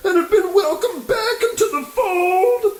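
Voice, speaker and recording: Extremely high, slow, tearful man-child ballplayer, whimpering radio-comedy voice, echoey. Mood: tearful, whiny. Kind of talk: old-radio comedy acting. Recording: echoey room.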